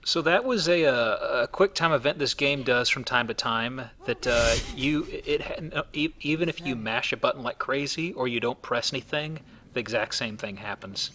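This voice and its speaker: A man gives casual let's play commentary in a bright, forward voice of middle pitch. He explains as he plays and tosses in joking asides, with faint game noise behind him. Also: normal speed.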